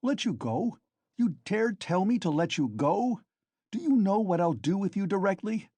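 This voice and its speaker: A man's voice: sits high, animated, moderate pace, slightly rough, no room sound, voice pushed up. A man doing a blustering hot-tempered friend. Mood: furious scolding. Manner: shouting, scolding.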